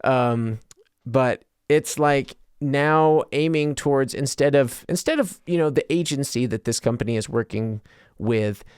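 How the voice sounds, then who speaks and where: An American man talks casually as a podcast host, wandering through tangents and restarting his thoughts. His voice sits at an average male pitch and is smooth and clear. The sound is dry, with hardly any room around it. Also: unhurried, a little mellow, no echo. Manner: self-interrupting, trails into tangents. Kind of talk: casual, rambling solo podcast talk.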